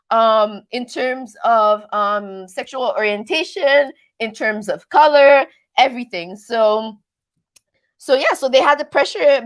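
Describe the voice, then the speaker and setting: Higher-pitched woman, casual rambling livestreamer. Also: a bit bright. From Canada. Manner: rambling, self-deprecating, stumbles over words; playfully switches between accents. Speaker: livestream host of a reality TV recap channel. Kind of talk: casual live chat, answering viewer comments.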